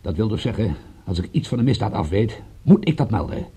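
An actor in a radio drama playing a wry, wary private detective with a dry, hesitant, understated manner. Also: male voice, on the low side, big pitch swings, a little fast, warm tone, breathy edge, exaggerated voice. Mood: guarded, wry.

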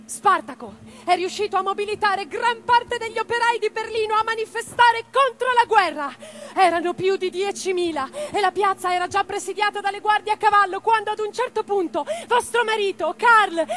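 A woman playing an imprisoned, defiant revolutionary in a radio theatre monologue, reading her letters with theatrical, deliberate phrasing. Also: extremely high pitch, fairly bright tone. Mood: fervent, exclaiming. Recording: clearly noisy track with mains hum.

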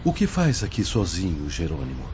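A Brazilian actor playing a kindly, patient spiritual brother, gently counseling in a measured radio drama delivery. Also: male voice, low-pitched, huge pitch swings, music in the background. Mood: gentle, encouraging. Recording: dull lo-fi audio with background noise.

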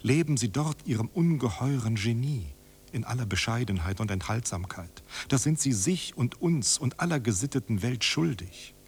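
A man's voice: mid-range voice, animated, moderate pace, a little hiss or hum, lightly acted. A man in a German radio drama playing a refined, idealistic friend who pleads solemnly and formally, as if reading a letter aloud. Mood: earnest admonishing. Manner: solemn, imploring, rhetorical, formal.

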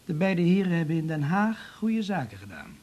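An actor playing a businesslike, sceptical and insistent police investigator in a 1980s radio drama, with a Netherlands Dutch accent. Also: male voice, a little high, big pitch swings, normal speed, a little mellow.

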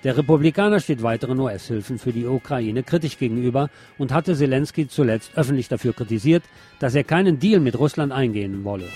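A man's voice: medium pitch, lively intonation, a little fast, warm tone, breathy edge, faint music behind. A man reading scripted news commentary in an opinionated way. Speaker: news presenter.

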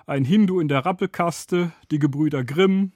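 A man's voice: on the high side, moderate pace, darkish tone, no echo. A man speaking as an expert guest in a studio interview, explaining analytically in learned, articulate wording. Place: dry radio studio.

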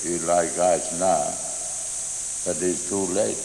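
A man's voice: deep voice, fairly even intonation, slow pace, gravelly. A man telling a story in simple, broken phrases, repeating his words. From Australia. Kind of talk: unscripted spoken testimony. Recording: echoey, hissy, insects chirping.

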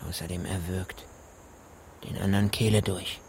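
A noisy recording carries a low-pitched country gamekeeper in a radio play. He is gruff and evasive, answering in clipped, offhand everyday phrases. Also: flat delivery, normal speed, darkish tone. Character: gruff, evasive rural gamekeeper, son of a poacher. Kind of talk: German radio drama acting.

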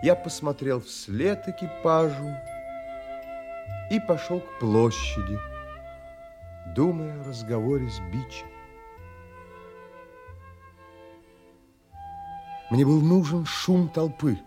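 Earnest adventurer, audio drama narrator, slightly high voice, theatrical, highly expressive, faint music behind. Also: male voice, unhurried, long pauses. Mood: reflective. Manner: theatrical, emotionally involved. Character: earnest, troubled male adventurer telling his own story. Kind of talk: dramatic first-person literary narration.

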